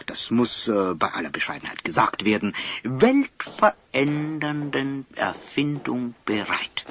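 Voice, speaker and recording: An actor playing an eccentric, kindly old scholar and inventor in an audio drama, theatrical and pompous, with hems and chuckles. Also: male voice, mid-range voice, background noise. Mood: eager, flustered. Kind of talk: German audio drama acting.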